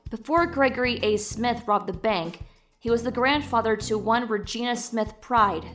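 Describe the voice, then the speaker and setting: An actress playing a young, caring, tech-savvy sidekick in an audio drama, matter-of-fact and analytical. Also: female voice, a little high, moderate pace, fairly bright tone, soft background music, close to an everyday voice.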